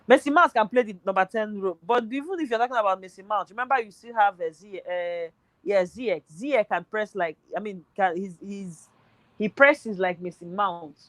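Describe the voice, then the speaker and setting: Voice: medium pitch, normal speed, fairly bright tone, no room sound. Football pundit, hesitant, voice worn thin, dry recording. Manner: hesitant, thinking aloud with many fillers. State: voice tiring, nearly gone. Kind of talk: casual football podcast chat.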